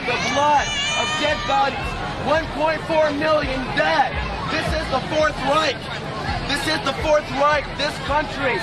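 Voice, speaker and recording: A very high-pitched American man delivers a confrontational street speech through a megaphone. He declaims in strident, repeated slogans. Loud music and a busy crowd surround him outdoors in the city. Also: fairly even intonation, measured pace, rough recording. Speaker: street activist. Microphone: amplified megaphone caught by a bystander's camera. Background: music, crowd, vehicles.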